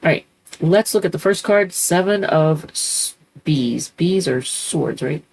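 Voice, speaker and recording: A woman's voice: deep voice, expressive, a little slow, vocal fry, darkish tone, slightly rough, low-quality recording. A woman with a congested voice from a cold, chatting loosely and rambling as she reads tarot cards. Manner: rambling, chatty, self-correcting with many ums. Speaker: tarot reader. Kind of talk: casual tarot reading.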